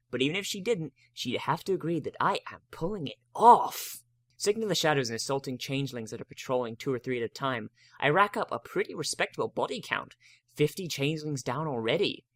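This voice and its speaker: Male narrator, wry, expressive, dramatic first-person fiction reading, close dry recording. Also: on the high side, fast talker, dry recording.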